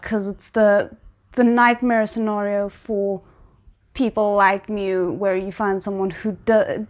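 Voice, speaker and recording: Woman giving a casual spoken reaction. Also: mid-range voice. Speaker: reaction video host.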